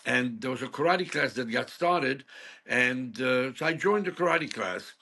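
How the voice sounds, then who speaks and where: A man's voice: mid-range voice, lively intonation, a little slow, gravelly, sharp tone. A man in his seventies from Hungary, speaking, telling stories frankly as a guest in an interview. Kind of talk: storytelling interview.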